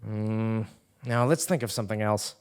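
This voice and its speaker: A man's voice: on the low side, normal speed, clean tone, close to an everyday voice. A man playing an exasperated, defiant commoner who leads a scheme, emphatic and incredulous, in a comic stage audio play. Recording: live theatre stage recording.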